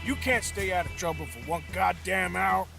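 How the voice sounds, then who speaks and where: An actor playing a gruff, weary, sardonic Old West outlaw in a video game, with an American accent and laconic, folksy talk. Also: male voice, high voice, normal speed, over music. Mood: urgent, exasperated.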